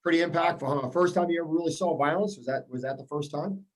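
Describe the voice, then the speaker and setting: A man's voice: on the high side, quick pace. A man moderating an interview, asking eager, rambling questions with frequent restarts.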